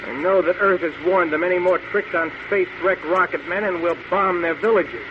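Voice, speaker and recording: An actor playing a desperate, defiant captive hero in an old radio space drama, with intense, melodramatic delivery. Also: male voice, high-pitched, fairly even intonation, moderate pace, close to an everyday voice. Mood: alarmed, incredulous. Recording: muffled old radio recording, loud noise.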